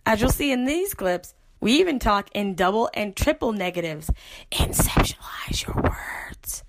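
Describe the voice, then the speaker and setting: A woman hosts a casual podcast and asks playful, mock-serious questions. Her voice moves lively up and down in pitch. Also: unhurried. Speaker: podcast host.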